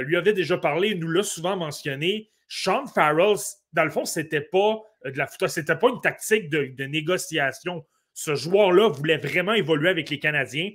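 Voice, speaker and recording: A man with a Québécois accent co-hosting a sports podcast, giving animated analysis with frequent fillers and restarts. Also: on the high side. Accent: Québécois French. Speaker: podcast co-host. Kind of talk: casual sports podcast talk.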